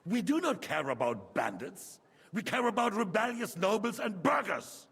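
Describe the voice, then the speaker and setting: A man playing an imperious, impatient king in a video game, husky and creaky, demanding answers. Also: sits high, big pitch swings, moderate pace, full character voice. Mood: angry, demanding. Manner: demanding, sharp interrogation. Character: imperious, impatient king berating his officials. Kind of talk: video game drama acting. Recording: long hall reverb.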